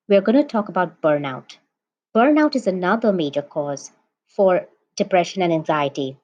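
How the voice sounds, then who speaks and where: A woman podcast host speaks in a measured, deliberate way. Her voice sits at a middle pitch for a woman. The recording is slightly dull and lo-fi. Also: a little mellow. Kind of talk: scripted podcast intro and interview. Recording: dull lo-fi sound.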